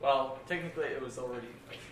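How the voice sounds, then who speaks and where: A man's voice: medium pitch, fast talker. A man on a casual podcast who jokes and laughs and trails off at the ends of lines. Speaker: podcast co-host. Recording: echoey room, distant mic, noisy.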